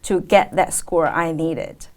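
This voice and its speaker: A woman in her thirties, born in China and speaking English as a second language, answering an interviewer's questions in a thoughtful way with frequent pauses and fillers. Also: low voice, darkish tone. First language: Chinese. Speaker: guest, teacher.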